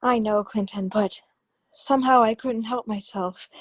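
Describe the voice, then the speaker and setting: A woman's voice: a little high, steady tone, measured pace, no room sound. A woman playing an anxious, secretive wife in a radio drama, hesitant and placating as she speaks. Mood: placating, uneasy. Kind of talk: old-time radio drama acting.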